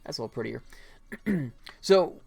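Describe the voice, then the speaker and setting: A man's voice: medium pitch, expressive, measured pace, vocal fry, darkish tone, breathy edge, faint music behind. A man hosting a casual game-development livestream, talking informally and thinking aloud as he works. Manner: informal, conversational, thinking aloud while working.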